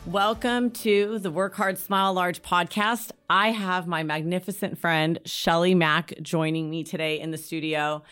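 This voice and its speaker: A woman's voice: on the low side, fairly even intonation, a little slow, few pauses, fairly bright tone, clear, resonant tone, soft background music. A woman hosting a podcast in a studio, upbeat and enthusiastic, laughing easily. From California. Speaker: podcast host. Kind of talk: casual interview chat.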